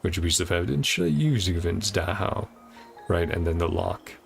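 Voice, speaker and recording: A man with a heavily creaky voice streams a game, reading the dialogue aloud. His pitch moves around a lot as he slips between reading and casual muttered commentary. Game music plays behind him. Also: expressive, moderate pace. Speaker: streamer playing and reacting to a game.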